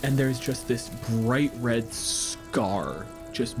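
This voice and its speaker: A man's voice: medium pitch, lively intonation, extremely slow. A man game master improvising tabletop narration in a casual, sweary way. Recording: music behind the voice. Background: music.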